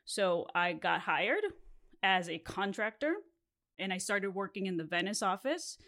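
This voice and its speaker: A woman's voice: mid-range voice, a little slow, a bit bright. A woman in her thirties from Mexico, whose first language is Spanish, telling a candid story as a podcast host with laughs between phrases.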